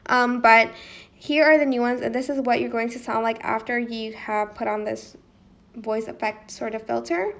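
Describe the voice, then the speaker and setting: A woman's voice: on the high side, fairly even intonation, moderate pace, vocal fry. A woman presenting a casual how-to tutorial in an informal, slightly hesitant way, as if thinking aloud.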